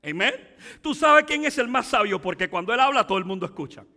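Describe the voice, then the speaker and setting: Pastor guy preaching, switches to english sometimes, bright tense voice, big hall echo. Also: lively intonation, fairly quick. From Cuba. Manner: preaching with rising emphatic peaks and dropping low asides. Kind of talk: bilingual sermon.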